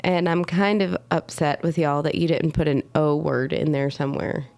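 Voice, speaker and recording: Tired woman with a gravelly voice. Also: sits low, steady tone, normal speed, a little mellow, no echo. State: tired, voice lower than usual. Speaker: co-host. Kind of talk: casual chat on a live stream.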